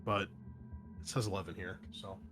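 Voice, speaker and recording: A man's voice: sits low, steady tone, normal speed, music in the background. A man working as game master, narrating a tabletop game and explaining its rules, with casual hesitant asides.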